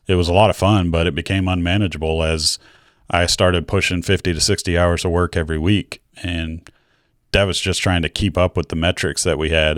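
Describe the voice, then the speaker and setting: A middle-aged American man with a low voice narrates a personal story for his viewers. His delivery is candid and reflective. The sound is close and dry, with hardly any room to it. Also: no echo. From Georgia, United States. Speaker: video creator telling his own story.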